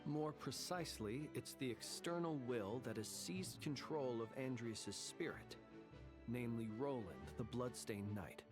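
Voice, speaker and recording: A man voicing a courteous, composed male envoy in a game, polite and measured. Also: mid-range voice, lively intonation, music in the background, close to an everyday voice. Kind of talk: game voice acting.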